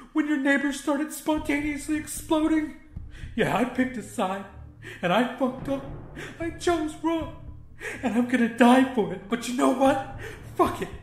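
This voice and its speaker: Man playing a desperate young woman, pitched way up. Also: echoey room. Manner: pleading, profane, intense. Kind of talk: dramatic horror audiobook dialogue.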